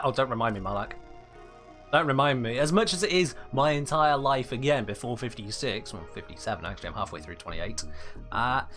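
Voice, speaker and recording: A man's voice: mid-range voice, fairly bright tone. A man in his twenties with an English accent, chatting casually while gaming live and reading out viewer messages with playful mock indignation. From England. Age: 20s. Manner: playful, mock-indignant, reads chat aloud. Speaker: game streamer.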